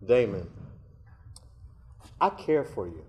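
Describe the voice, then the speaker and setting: An actor playing a steady, affectionate man who encourages and gently teases his friend in a stage play. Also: fairly low pitch, slow pace, reverberant, lo-fi sound. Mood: tender. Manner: warm encouraging tone, gentle teasing.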